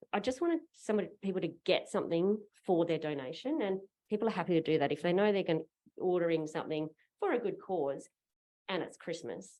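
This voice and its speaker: A woman's voice: lowish voice, a little mellow. A woman on a conference panel, telling a story in a chatty, rambling way. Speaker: panelist, parent fundraiser. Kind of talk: casual panel talk.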